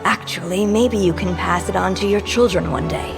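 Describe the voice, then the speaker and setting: A woman voicing a gentle, wistful and earnest storyteller witch in a video game. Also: medium pitch, moderate pace, lightly acted. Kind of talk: video game character acting. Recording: clear music playing behind.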